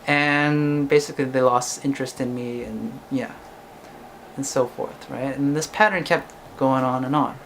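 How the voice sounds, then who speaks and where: A man's voice: medium pitch, fairly even intonation, unhurried, fairly bright tone, a little hiss or hum. A young man, a singer-songwriter whose first language is English, talking casually to camera and ending his points with "right?". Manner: chatty, ends points with "right?".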